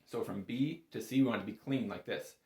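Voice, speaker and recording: A man's voice: mid-range voice, brisk, echoey room, very noisy. A man giving a casual, step-by-step music lesson as an instructor, in an informal, reassuring and encouraging manner. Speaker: bagpipe instructor.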